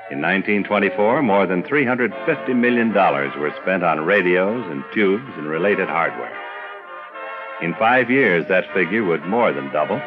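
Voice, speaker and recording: A deep-voiced older man delivers scripted documentary narration with personal reminiscence. The recording is slightly dull, with music clearly behind him.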